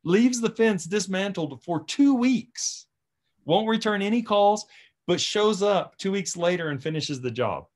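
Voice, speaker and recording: A man's voice: high-pitched, animated, a little slow. A man who runs a tree service, chatting casually and telling stories from work. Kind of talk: casual chat, storytelling from work.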